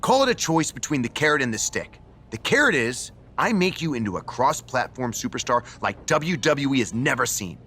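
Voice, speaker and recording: An actor playing a smug, self-important, emphatic wrestling general manager in a video game cutscene. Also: male voice, medium pitch, big pitch swings, normal speed, bright, forceful tone, a little hiss or hum. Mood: exasperated, persuasive.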